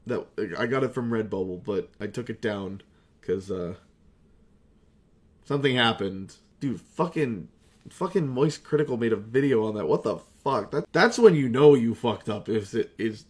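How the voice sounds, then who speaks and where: A man's voice: mid-range voice, darkish tone. A young man chatting casually as a video creator, rambling, self-deprecating and swearing freely. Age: young adult. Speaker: amateur voice actor and video creator. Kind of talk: casual rambling retrospective chat.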